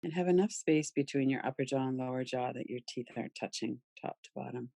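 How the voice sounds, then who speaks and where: Female meditation guide, soft-edged dark tone, close dry sound, soothing delivery with pauses. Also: a bass voice, creaky, no echo. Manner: soothing, gentle, unhurried with pauses. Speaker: yoga nidra meditation guide.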